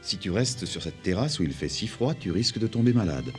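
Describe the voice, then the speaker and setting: Casting: gentle, loving cartoon dad, low-pitched, music underneath. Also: male voice, big pitch swings, brisk. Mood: tender, reassuring. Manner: gentle, affectionate. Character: tender, reassuring father of a young girl. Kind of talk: cartoon dub acting.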